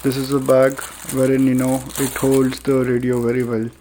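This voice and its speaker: A man with an Indian accent narrating a casual unboxing review, speaking haltingly with frequent filler pauses. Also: medium pitch, completely flat delivery, mellow, a little hiss or hum, low-quality recording. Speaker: product reviewer.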